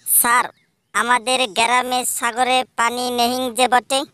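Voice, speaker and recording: A dim-witted village schoolboy drawls his answers slowly in thick rustic speech, as a woman puts him on. The voice sits high and bright. Every word is stretched and unhurried. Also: little pitch movement, clean tone, dry recording. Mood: deadpan. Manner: slow drawling with rustic dialect.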